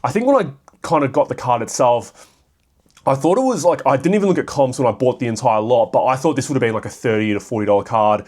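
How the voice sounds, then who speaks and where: This is a young Australian man whose voice is raspy and a little worn from a cold. He talks at a middling pitch in a loose, rambling way, full of "uh" and "um" as he works through his thoughts out loud. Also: lively intonation, fast talker. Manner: rambling, filler-heavy, thinking aloud. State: hoarse from a cold. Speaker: card shop vlogger. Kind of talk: casual solo commentary.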